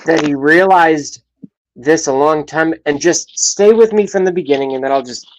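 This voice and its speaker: A man in his late thirties hosting a livestream talk show, rambling and profane, with his loudness swinging up and down. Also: a little high, moderate pace, poor audio. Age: 30s.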